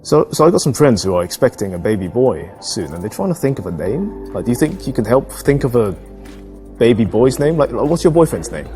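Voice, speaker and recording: An actor as an earnest man on a date in a game scene, chatting someone up. His voice is mellow and swings in pitch as he hesitates and doubles back eagerly, with faint music behind. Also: sing-song, a little fast, close to an everyday voice. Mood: casual, probing. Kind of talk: acted casual date chat.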